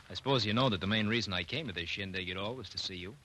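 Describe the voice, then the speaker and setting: A man's voice: fairly low pitch, quick pace, bright, forceful tone. An American man acting a genial, earnest doctor in his thirties in an old radio drama, playing the loyal college friend. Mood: warmly friendly. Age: 30s. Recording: noisy old radio broadcast with clicks.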